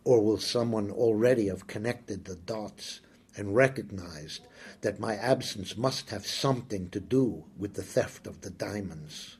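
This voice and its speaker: A man reading a first-person story aloud, slow, brooding and intimate. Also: lowish voice, darkish tone, husky. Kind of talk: scripted first-person audiobook narration.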